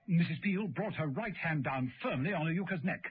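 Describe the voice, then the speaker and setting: Animated male narrator, muffled radio. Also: on the high side, moderate pace. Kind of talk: scripted dramatic radio narration. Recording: muffled, slightly noisy vintage radio recording.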